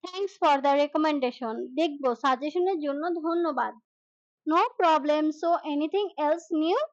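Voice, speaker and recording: High-pitched woman reading language lesson. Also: no echo, dull audio. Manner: slow deliberate English, brisk translations. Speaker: English teacher. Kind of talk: scripted language lesson dialogue, read line by line.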